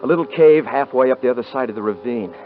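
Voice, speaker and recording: An actor playing a cocky, rough-talking frontier hunter who also narrates, in a 1950s radio drama. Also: male voice, medium pitch, animated, fast talker, a little hiss or hum, lightly acted. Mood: grim narration. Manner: rough rural grammar, boastful. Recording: muffled vintage radio recording.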